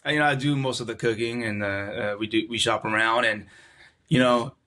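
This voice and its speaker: A middle-aged man from California, a podcast guest talking in a relaxed, reflective way and often repeating words as he searches for them. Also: medium pitch, fairly quick. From California, USA. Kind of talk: casual conversational interview.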